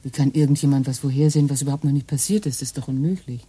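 A woman's voice: a bass voice, steady tone, very fast, warm tone, husky, no room sound. An actress playing Liz, a practical married woman in a German radio play who doubts her partner's ideas. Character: down-to-earth skeptical housewife, married woman.

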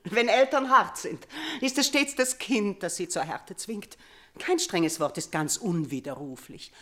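A guilt-ridden, imperious queen of middle years in a classical radio play. She speaks theatrically in a medium-pitched voice, moving from uneasy murmurs to commanding outcries. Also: animated, measured pace, fairly bright tone. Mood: commanding, coaxing. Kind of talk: classical German radio drama acting.

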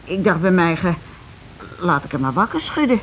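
A muffled old radio play recording with some noise carries the voice of a shaken housewife. She is working-class and plain-spoken. Her lines come haltingly, with repeats and broken-off starts. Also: on the low side, sing-song. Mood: flustered, explaining. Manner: halting, repetitive, falters mid-sentence. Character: shaken working-class housewife circling around grim news. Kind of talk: naturalistic radio play dialogue.

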